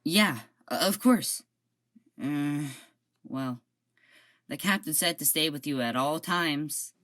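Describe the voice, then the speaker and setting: A woman's voice: sits low, slow pace, a bit bright. A woman playing a loyal young male ship officer who talks in a hesitant, dutiful way in an amateur audio drama.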